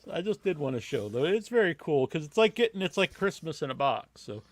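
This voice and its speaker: A man chatting casually as a podcast host, in a halting, rambling way that often trails off. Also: fairly high pitch, sing-song, crowd noise.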